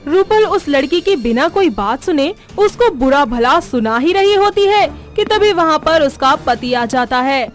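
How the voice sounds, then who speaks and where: Female storyteller, high register, scripted cartoon narration over faint music. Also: expressive, unhurried, few pauses.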